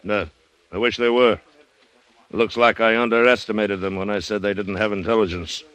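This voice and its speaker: An actor playing a bold, stubborn plantation owner who barks orders cockily in an old radio drama. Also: male voice, lowish voice, fairly even intonation, moderate pace, smooth, no echo, lightly acted. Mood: grimly confident. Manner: commanding, cocky, forceful. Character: bold, stubborn male plantation owner commanding his men. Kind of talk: 1940s radio drama acting.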